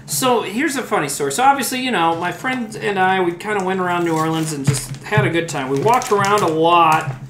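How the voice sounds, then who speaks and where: A man in his forties chatting loosely while he cooks at home, telling rambling stories with laughs and the odd swear word, a little tipsy from rum. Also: sits high. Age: 40s. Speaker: comedian streaming while cooking. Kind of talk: casual rambling chat. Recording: echoey room with faint background noise.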